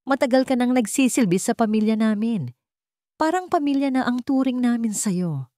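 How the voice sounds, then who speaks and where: A woman's voice: fairly high pitch, expressive, normal speed, warm tone, dry recording, studio quality, pitched above the performer's own voice, lightly acted. A woman voicing an anxious but determined young man from a rich family, in earnest story narration. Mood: hurt disbelief. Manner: shocked, earnest.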